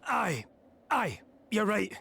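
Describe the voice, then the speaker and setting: A man's voice: sits high, sing-song, takes it slowly, gravelly, bright, forceful tone, a little hiss or hum, exaggerated voice. A man playing a concerned, bewildered friend in a video game drama, earnest and questioning.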